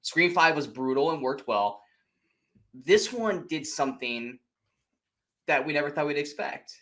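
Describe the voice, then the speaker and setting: A man co-hosting a film podcast in a casual chat, animated and sweary, acting out his reactions as he retells things. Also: fairly high pitch, measured pace. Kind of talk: casual movie spoiler chat.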